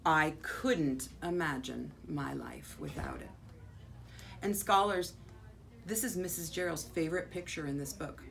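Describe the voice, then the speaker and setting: An American woman, a school principal, reading a children's picture book aloud expressively, with dramatic pauses and drawn-out words. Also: low-pitched, big pitch swings, creaky. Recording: faint background noise.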